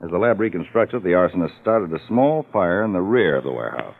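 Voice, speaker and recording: An actor playing an authoritative, shrewd male law-enforcement chief in an old-time radio crime drama, brisk and emphatic. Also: low voice, very animated, creaky, exaggerated voice. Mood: briefing. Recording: muffled, narrow old radio recording.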